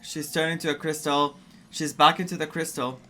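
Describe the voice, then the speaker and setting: A man's voice: on the high side, flat delivery, moderate pace, sharp tone, rough recording. A young man from Greece giving casual game playthrough commentary as a streamer, thinking aloud in a rambling way. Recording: game music behind the voice.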